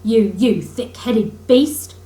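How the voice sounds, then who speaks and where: A woman's voice: a little high, slow pace, warm tone. A woman voicing an eager, emphatic male rat from a children's storybook, kindly but bossy and impatient. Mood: impatient, urgent. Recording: roomy echo, faint background noise.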